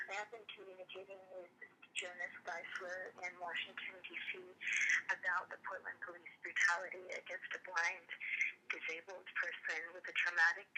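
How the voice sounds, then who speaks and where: Woman caller, very sharp bright tone, little pitch variation, earnest and wordy, leaving a formal voicemail on a tinny telephone line. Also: slight background noise. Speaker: caller, advocate for a friend. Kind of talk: long voicemail message.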